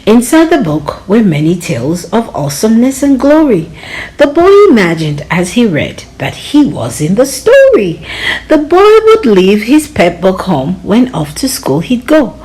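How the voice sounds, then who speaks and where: A woman reading a children's storybook aloud in an expressive, dramatic way, drawing out words for young listeners. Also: mid-range voice, a little slow, low-quality recording.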